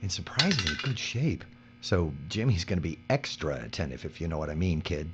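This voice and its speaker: Man playing a low, animated, cynical veteran worker. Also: brisk. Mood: wry, weary. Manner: gruffly sardonic, blunt. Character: weary, cynical male veteran worker mentoring a younger one. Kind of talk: dark dystopian audio drama acting. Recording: dull audio with faint mains hum.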